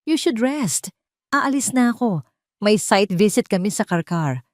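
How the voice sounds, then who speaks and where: A woman's voice: mid-range voice, lively intonation, a little slow, no echo, studio quality, pitched above the performer's own voice, close to an everyday voice. A woman with a Filipino accent voicing a deceitful husband who feigns tender concern in an audio drama. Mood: soothing, dismissive. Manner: solicitous, falsely caring.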